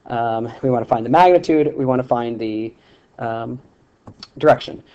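Man, math teacher, voice-over lesson, relaxed, ums and restarts, warm tone. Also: mid-range voice, moderate pace, lo-fi sound. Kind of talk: screencast lesson with handwriting.

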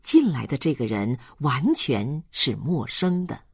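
A man reading a novel aloud as an audiobook narrator, in an expressive literary storytelling style. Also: mid-range voice, unhurried, gravelly, breathy edge, no echo, clean studio sound.

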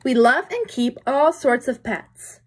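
A woman's voice: high-pitched, animated, slow, slight background noise. A young adult woman reading a picture book to children as a teacher would, with explanatory asides and questions to her listeners. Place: small room indoors.

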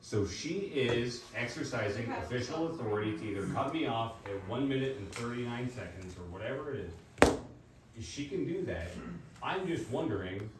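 A man's voice: mid-range voice, animated, moderate pace. Insistent man challenging officials in echoey room. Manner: insistent, challenging, repeats himself. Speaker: member of the public at a hearing. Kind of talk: argumentative questioning at a public meeting. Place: public meeting room. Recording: echoey room, far from mic, noisy.

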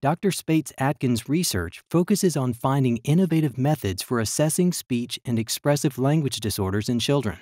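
A man reading a scripted virtual tour narration in an even, measured way. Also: mid-range voice, no room sound, studio quality.

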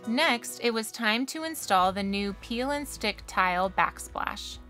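A woman narrates a home project in a scripted voice-over with a bright, clear tone. She explains each step plainly and matter-of-factly, sitting close to the microphone. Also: on the high side, expressive, a little slow, faint music behind. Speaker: RV renovation vlogger.

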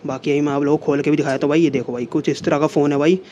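A young man talks straight into a phone held close, chatting casually as a vlogger and leaning on the same filler words again and again. His voice sits a little high but stays flat and mellow. A faint hiss of the room runs under it. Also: racing, highs cut off. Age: young adult. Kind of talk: casual vlog talk to camera. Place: small room indoors, then a seller's home.

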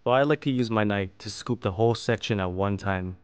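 A man narrating a fish-cutting and plating tutorial as host, explaining each step calmly. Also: lowish voice, a little fast, clean tone, no echo. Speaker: fishing and cooking channel host. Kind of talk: calm instructional voice-over.

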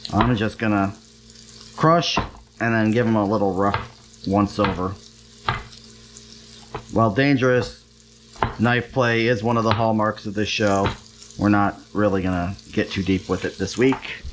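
A man's voice: lowish voice, steady tone, unhurried, long pauses, noisy background. A man hosting a home cooking show, chatting casually and making wry, self-deprecating asides as he cooks. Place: home kitchen, stovetop.

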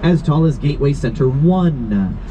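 A man giving a guided boat tour outdoors, telling facts with wry, deadpan jokes and punchy timing. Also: on the high side, normal speed. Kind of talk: scripted joke-filled guided tour commentary. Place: outdoors on an open river boat among tall buildings. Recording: dull lo-fi sound with loud city and boat noise.

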